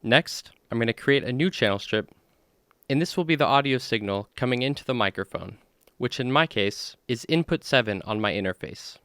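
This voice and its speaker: A man hosting a software tutorial, explaining each step plainly in an instructional walkthrough. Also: mid-range voice, animated, moderate pace, smooth, no echo, clean studio sound. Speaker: tutorial host.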